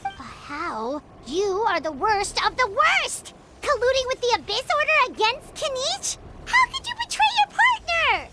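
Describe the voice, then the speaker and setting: A little floating game companion, very high and bouncy, her voice leaping about as she chatters. She exclaims about herself in the third person over a constant hiss of noise. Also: huge pitch swings, measured pace, heavily acted. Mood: outraged. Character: small floating female companion, chatty and excitable.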